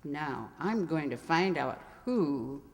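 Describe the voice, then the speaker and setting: Radio play librarian female performer: prim, chatty, gently scolding, lively inflection, ringing hall acoustic. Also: deep voice, slow pace, full character voice. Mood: primly shocked. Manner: fussy, scolding yet genteel. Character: prim, chatty small-town spinster librarian. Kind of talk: amateur radio mystery play acting. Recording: reverberant hall sound.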